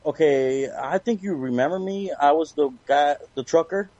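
A man's voice: on the high side, normal speed, darkish tone, no room sound. A man doing casual, crude prank-call banter over a muffled lo-fi voice chat. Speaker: prank caller on a call show.

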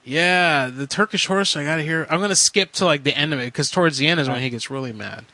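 A man's voice: on the high side, quick pace, bright, forceful tone, dry recording. A man hosting a casual show, swearing freely and laughing often as he chats about prank calls. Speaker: show host.

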